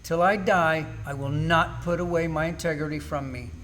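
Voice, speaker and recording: A man's voice: fairly high pitch, steady tone, moderate pace, fairly bright tone, faint music behind, lightly acted. An amateur actor, a man, playing a suffering, bitter but steadfast man in a solemn, declamatory Bible drama reading. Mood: defiant. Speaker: church class amateur actor.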